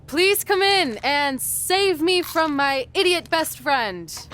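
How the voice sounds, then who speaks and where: A woman's voice: high voice, animated, slow pace, a bit bright, slight background noise, heavily acted. A woman acting a sensible, teasing young female wrestler in a video game cutscene, with a wry, nagging way of talking. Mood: exasperated.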